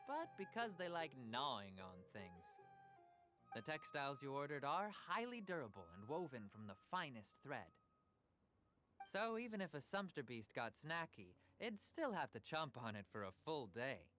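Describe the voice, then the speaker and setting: An actor playing a persuasive, smooth-talking male merchant in a game cutscene. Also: fairly high pitch, big pitch swings, moderate pace, a bit bright. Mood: genial. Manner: persuasive, salesman patter. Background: game music.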